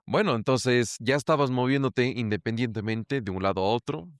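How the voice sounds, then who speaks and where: A man's voice: medium pitch, normal speed, clean tone, no echo, clean studio sound. A male podcast host who interviews his guest with curious, engaged questions and exclamations. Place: lives in Ecuador.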